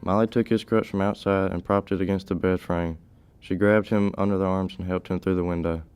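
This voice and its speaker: Teenage male, story narrator, deep smooth voice, flat delivery, scripted reading. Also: a little fast, soft background music.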